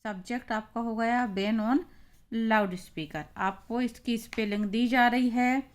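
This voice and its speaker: A woman with an Indian accent teaching in a deliberate, explanatory way, as in an instructional lesson. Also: a little high, fairly even intonation, clear, resonant tone. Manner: deliberate, explanatory, reading phrases out then translating them.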